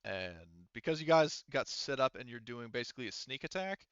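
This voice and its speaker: Halting man with a bright, clear voice. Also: medium pitch, animated, no echo, muffled recording. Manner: halting, self-correcting. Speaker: game master. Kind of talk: casual tabletop game narration and rules talk.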